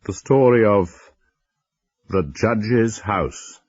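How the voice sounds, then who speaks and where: An actor playing a macabre storyteller host, narrating radio horror with ominous, theatrical deliberation. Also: male voice, lowish voice, very slow, a little mellow, no room sound, highs cut off. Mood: macabre relish.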